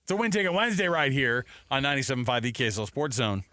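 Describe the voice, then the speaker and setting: A man's voice: medium pitch, big pitch swings, racing, pushed and bright, dry recording, clean studio sound. A man hosting casual sports talk radio, chatty and repeating himself for emphasis.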